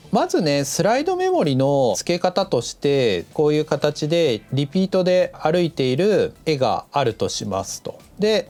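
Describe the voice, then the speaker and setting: A man's voice: on the high side, darkish tone. A man giving a casual tutorial as an animation instructor, explaining things step by step in an instructive way. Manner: instructive, demonstrating step by step, counting aloud. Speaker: animation director teaching a lesson. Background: background music.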